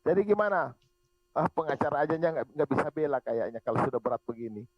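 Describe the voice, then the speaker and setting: A man with a fairly high voice moderates a religious debate over an online call. He keeps pressing with questions. The sound is lo-fi and dry, with little room around him. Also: lively intonation, fast talker, dry recording. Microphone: online group call. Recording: muffled lo-fi online call audio.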